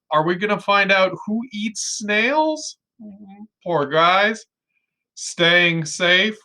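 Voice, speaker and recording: Man, kindergarten teacher, slow deliberate pace, clear-cut bright tone, expressive read-aloud, simple explanations for children. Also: a little high.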